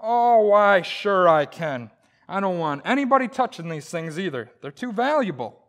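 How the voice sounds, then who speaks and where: A man's voice: sits high, big pitch swings, moderate pace, a bit bright, full character voice. A man playing a blustering, wisecracking, stubborn husband in old-time radio comedy, with a folksy, exaggerated, jokey delivery. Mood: boastful.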